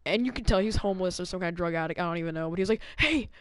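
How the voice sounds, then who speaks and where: Young male gaming host, casual slangy storyteller with a clean, smooth voice, recorded close and dry. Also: high voice, very fast, no room sound. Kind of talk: casual storytelling over gameplay.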